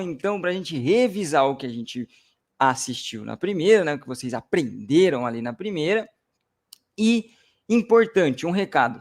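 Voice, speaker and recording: A man hosting an online trading class, talking energetically and directly to viewers. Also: on the high side. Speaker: course host. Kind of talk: upbeat webinar presenting.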